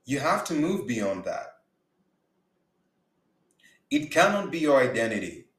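A man's voice: medium pitch, big pitch swings, measured pace. A man from Uganda giving an earnest motivational talk about faith and business, repeating words for emphasis. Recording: roomy echo.

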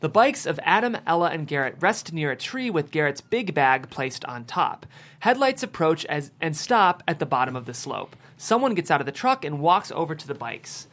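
Evenly pitched screenplay narrator. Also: sing-song, normal speed, fairly bright tone. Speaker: stage directions reader at a table read.

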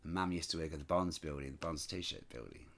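A man who grew up in Sydney, an entertainer telling stories in an interview in a lively, expressive way, with frequent asides. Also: very deep voice, brisk, gravelly. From Scotland, raised in Sydney. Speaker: stage entertainer and show producer. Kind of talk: chatty interview storytelling.

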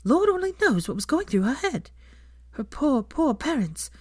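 A woman voicing scandalized, judgmental churchgoing busybodies, gossiping in a hushed, disapproving way. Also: sits high, big pitch swings, moderate pace, dry recording, clean studio sound, voice pushed up.

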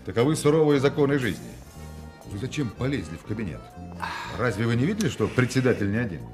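Guy acting a cocky smooth-talking swindler in a radio play, mock-solemn and ironic, very animated, music in the back. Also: mid-range voice, fast talker, natural voice. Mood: mocking, grand. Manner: mocking, theatrical, mock-solemn pronouncements. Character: witty, self-assured swindler, a grown man, grandly ironic.

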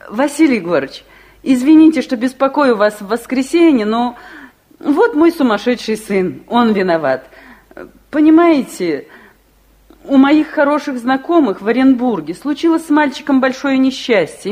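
An actress playing a fussy, practical middle-aged mother, easily exasperated but soft-hearted, in a 1960s Soviet radio play. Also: high voice. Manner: expressive, fussing, theatrical.

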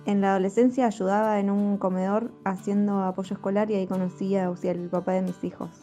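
A woman's voice: medium pitch, little pitch movement, fairly quick, dark tone. A woman with a Rosario Argentine accent giving a first-person narrated introduction of herself. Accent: Rosario Argentine Spanish. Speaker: podcast guest introducing herself. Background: music.

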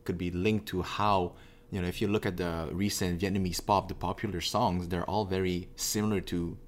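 A man with a very deep voice talks through his ideas in a relaxed discussion. He hesitates and restarts his sentences as he thinks aloud, and a faint hum sits behind him. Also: normal speed. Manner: hesitant, thoughtful, many restarts. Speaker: conversation partner. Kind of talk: casual discussion.

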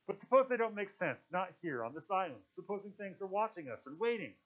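Boy leader for storybook adult male reader: high, lively pitch, earnest, wavering, rule-bound. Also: lo-fi sound. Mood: bleak, doubtful. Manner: halting, self-questioning, insistent about rules.

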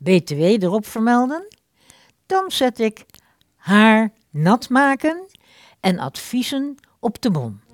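A woman's voice: on the high side, big pitch swings, takes it slowly, no echo, studio quality. A woman playing a polite, singsong cashier at a hair salon in a radio play. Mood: courteous, businesslike.

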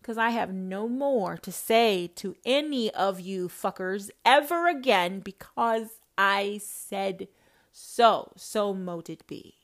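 A crude, commanding male God, as a woman puts him on speaks in slow, loud proclamations. He is impatient and profane, and each word lands in a raised, emphatic voice that climbs a little above her usual pitch. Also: expressive. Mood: proclaiming.

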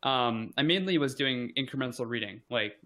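A young man from the United States answers questions in a relaxed interview. His voice is bright and forward. He talks in loose, hesitant runs full of fillers and self-deprecating remarks. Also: mid-range voice, normal speed. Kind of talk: casual conversation.

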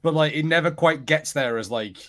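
A man's voice: a little high, a little fast, a bit bright. A man giving casual, thinking-aloud film commentary as a panelist among friends, with critical reservations. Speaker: podcast panelist.

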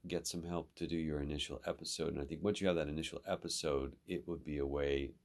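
Very deep-voiced male instructor. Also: steady tone. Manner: measured and advising, with ums and restarts. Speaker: instructor giving feedback. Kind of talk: advisory conversation.

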